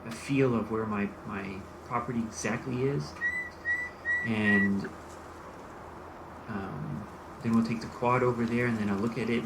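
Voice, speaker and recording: A low-pitched American man narrates a role-play farming game, musing and thinking aloud as he plays. A loud vehicle engine drones behind his voice throughout. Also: gravelly, noisy background. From United States. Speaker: gaming channel host. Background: game engine and vehicle sounds.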